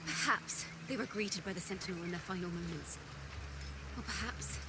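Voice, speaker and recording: An actress playing an earnest, defiant young woman poet, speaking in measured, dramatic lines for a video game. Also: on the low side, very noisy, natural voice. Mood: solemn, dramatic. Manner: declamatory, measured, poised storytelling. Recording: game music under the voice. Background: loud game music.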